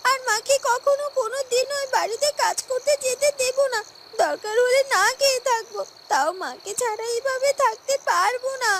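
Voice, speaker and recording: Tiny, very high-pitched anxious girl. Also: animated, moderate pace, a bit bright, a little hiss or hum, full character voice. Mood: pleading. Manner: pleading, whiny. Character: anxious, devoted poor little girl missing her mother. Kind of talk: Bengali cartoon voice acting.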